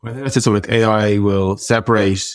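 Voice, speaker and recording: Low-pitched man, reflective and informal. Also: steady tone, fast talker, dry recording, studio quality. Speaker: interview guest, tech product executive. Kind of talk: casual interview conversation.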